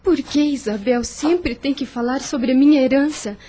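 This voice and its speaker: An actress playing a meek, sweet young Brazilian heiress in a radio drama, speaking gently and formally. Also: female voice, high-pitched, moderate pace, a little hiss or hum, close to an everyday voice. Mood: troubled, reflective.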